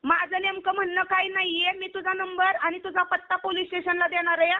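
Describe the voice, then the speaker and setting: Very high-pitched woman, confrontational, insistent questioning, heated argument, muffled lo-fi phone call. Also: level tone, fairly quick. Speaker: online video performer receiving a prank call.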